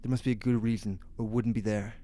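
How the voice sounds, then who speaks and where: A man's voice: on the low side, level tone, fast, dark tone, close to an everyday voice. An actor playing an unbothered small-town man in an audio drama, casual and dismissive in manner. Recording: clear noise under ambience.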